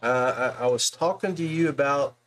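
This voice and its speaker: A man's voice: mid-range voice, normal speed, fairly bright tone, a little hiss or hum. A man hosting a podcast, interviewing in a casual, folksy, chummy way and often saying man and brother. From West Virginia, United States. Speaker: podcast host interviewing anglers.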